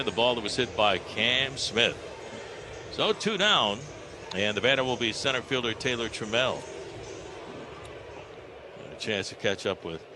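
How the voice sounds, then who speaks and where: A man with an American accent calling live baseball play-by-play on the radio. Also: medium pitch. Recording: ballpark ambience noise behind broadcast. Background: stadium ambience.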